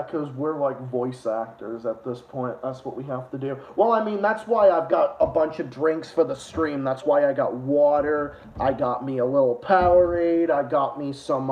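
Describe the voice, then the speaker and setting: A man co-hosting a casual livestream show, talking in a crude, rambling and repetitive way. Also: mid-range voice. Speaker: co-host. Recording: echoey room, dull lo-fi sound.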